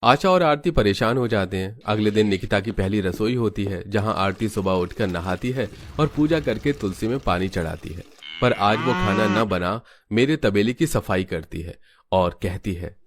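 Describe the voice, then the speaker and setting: A man's voice: fairly low pitch, moderate pace. A man narrating a scripted family cartoon story.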